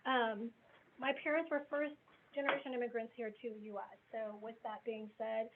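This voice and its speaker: A woman's voice: fairly high pitch, level tone, moderate pace, background noise. Woman in her 40s, business coach on a zoom call, chatty and candid, tinny phone-ish line. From grew up in the US Midwest. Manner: candid, conversational storytelling. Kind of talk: webinar self-introduction. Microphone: video call.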